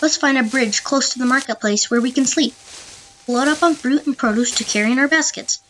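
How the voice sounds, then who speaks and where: A woman playing a clever monk on a secret mission, giving deliberate instructions in an amateur audio play. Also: sits high, fairly bright tone, slight background noise, poor audio. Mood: plotting.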